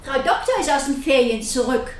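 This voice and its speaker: A high-voiced, fussy older housekeeper. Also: female voice, expressive, moderate pace, slight background noise. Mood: solicitous, fussy. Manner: servile, fussy, old-fashioned formality. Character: deferential, fussy older female housekeeper, old-fashioned and solicitous. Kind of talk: character acting in radio crime drama.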